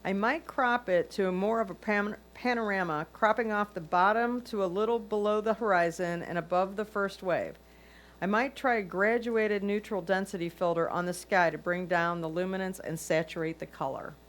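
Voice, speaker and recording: Woman, medium pitch, reading prepared critique aloud. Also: normal speed. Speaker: reader of judges' comments.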